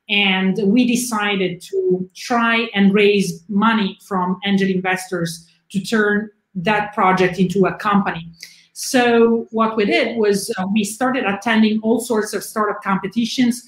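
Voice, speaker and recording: A woman speaks English as a guest on an interview show. She tells her story fluently and steadily at a middle pitch. Her voice carries a clear room echo. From southern Italy. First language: Italian. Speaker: guest, publisher. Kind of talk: interview answers. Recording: echoey room.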